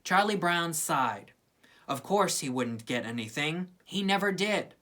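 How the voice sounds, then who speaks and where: A woman's voice: low voice, expressive, measured pace, a bit bright. A woman reading a children's story aloud with plenty of expression. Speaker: storybook reader.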